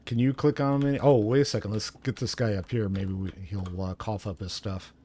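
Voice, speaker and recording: A man's voice: on the low side, steady tone, moderate pace, dark tone. A man chatting casually as he plays a video game as co-host of a livestream. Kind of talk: casual chat while playing a video game.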